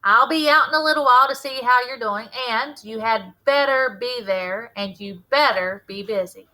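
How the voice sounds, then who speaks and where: A woman's voice: on the high side, normal speed, pushed and bright, poor audio. A woman reading a storybook as a stern, worried Southern mother laying down the law. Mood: firm.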